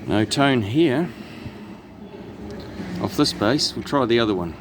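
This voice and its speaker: A man's voice: medium pitch, takes it slowly. A man giving a matter-of-fact, slightly grumbling hands-on commentary as a technician at work in a truck. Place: inside a truck cab and on its roof. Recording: steady background noise, vehicle ambience.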